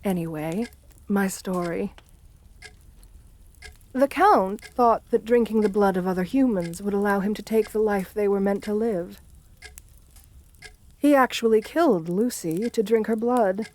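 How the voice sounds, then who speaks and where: A woman's voice: medium pitch, measured pace, long pauses, darkish tone, natural voice. A woman playing a seductive, assertive countess in an intimate ASMR roleplay, speaking in a genteel, soothing, flirtatious way. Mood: genteel, coaxing.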